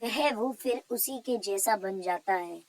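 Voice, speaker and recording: A woman's voice: medium pitch, slow. A woman narrating a scripted cartoon story.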